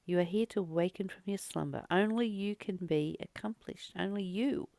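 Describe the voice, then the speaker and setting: A woman's voice: fairly low pitch, normal speed, gravelly, warm tone, dry recording. A woman reading a spiritual text and commenting on it as a teacher, earnest and exhorting, repeating phrases for emphasis.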